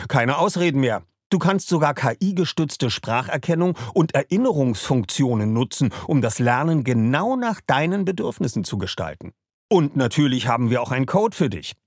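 A man, a podcast host, reading a sponsor ad in an upbeat, salesy way with a husky voice. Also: mid-range voice, no echo. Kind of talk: ad read. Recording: dry close mic.

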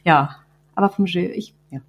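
A woman's voice: fairly low pitch, moderate pace, husky, dull audio. A woman from southern Germany with a Swabian accent, talking casually as a podcast guest. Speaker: podcast guest, company founder. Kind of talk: casual conversational anecdotes.

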